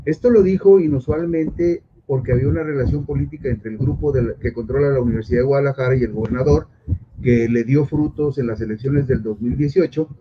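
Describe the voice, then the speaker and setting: A man in his sixties with a Mexican Spanish accent, a journalist giving measured, emphatic political commentary over a video call from his home study. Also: mid-range voice. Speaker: journalist guest. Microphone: video call webcam. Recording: dull lo-fi video call with clear noise.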